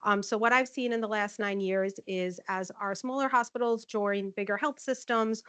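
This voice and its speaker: A woman giving a chatty conference talk as a nursing informatics manager, with a loud voice and many "um" fillers. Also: mid-range voice, fairly even intonation, normal speed, dry recording. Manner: friendly, chatty, frequent "um" fillers. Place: conference room. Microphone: handheld or podium microphone.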